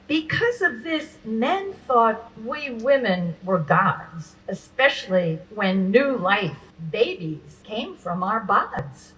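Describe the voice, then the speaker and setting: A woman performing a dramatic spoken-word poem at an event, with theatrical, deliberate phrasing and pauses. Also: fairly high pitch, very animated, fairly bright tone, distant mic, poor audio.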